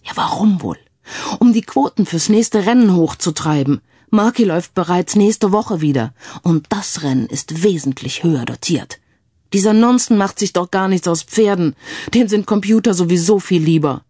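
Audio crime story: hot-tempered, suspicious horsewoman played by a female reader, animated and bright. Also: on the low side, normal speed, slightly rough, dry recording, clean studio sound. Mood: bitter, agitated. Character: furious hot-tempered woman horse trainer, cursing and suspicious.

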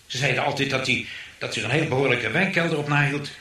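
A man's voice: medium pitch, fast, pushed and bright, close to an everyday voice. A man acting in a radio play as a flustered, money-minded husband who is incredulous and hesitant. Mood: puzzled, dawning dismay. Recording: roomy, slightly noisy radio recording.

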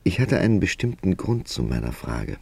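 An actor playing a composed, middle-aged confidant who probes another's dream with measured curiosity in a German radio play. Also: male voice, deep voice, sing-song, gravelly, darkish tone, husky, no room sound, exaggerated voice. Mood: intent, thoughtful. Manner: measured, probing.

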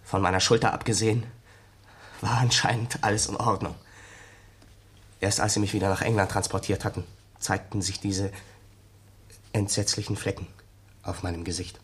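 A sick, secluded young ex-soldier with a husky, lowish voice. He speaks with subdued sorrow, in the dramatic manner of a 1960s German radio play. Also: little pitch movement, normal speed, pauses often, a bit bright. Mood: haunted.

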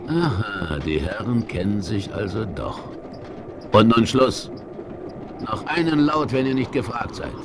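A man's voice: sing-song, a little slow. An actor playing a stern, dryly ironic western frontiersman hero in a 1970s radio play. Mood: cool, threatening. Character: commanding, coolly mocking western frontiersman hero. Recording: noisy vinyl radio play, vehicle rumble.

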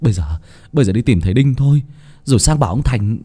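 A man's voice: medium pitch, lively intonation, fast talker, dark tone, slightly rough. A man voicing a frightened village boy in a horror story, stammering and urgent. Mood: frantic. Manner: stammering, urgent, breathless outbursts.

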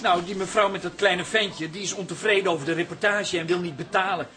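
An actor playing a money-minded, nonchalant grown son in a comic radio drama, with a dismissive manner. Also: male voice, sits high, fairly quick, sharp tone.

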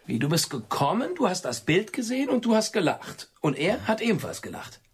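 High, husky, nitpicking sceptic. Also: male voice, big pitch swings, fairly quick, full character voice. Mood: incredulous. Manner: insistent, questioning, argumentative. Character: probing, sceptical male friend who nitpicks every word. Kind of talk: radio play acting.

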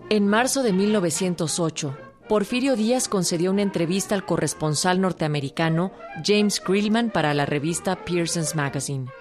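Narradora mexicana, voz algo grave. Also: female voice, lowish voice, moderate pace, soft background music. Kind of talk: scripted historical documentary narration.

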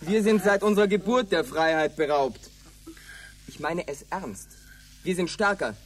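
A desperate colonist leader in a radio drama. The voice sits a little high for a man and is husky. He speaks bluntly, giving orders with bitter determination. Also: measured pace. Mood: commanding, menacing. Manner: blunt, commanding. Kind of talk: German radio drama acting.

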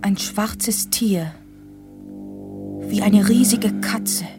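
An actress playing a reflective young woman who narrates a radio drama in the first person, hushed, deliberate and suspenseful. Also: medium pitch. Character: young woman recounting an eerie night, haunted, reflective. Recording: music under the voice. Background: clear music.

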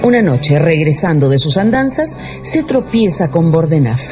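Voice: medium pitch, sing-song, normal speed. A narrator reading a radio novel in a dramatic, scripted storytelling style. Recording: dull radio sound with music behind.

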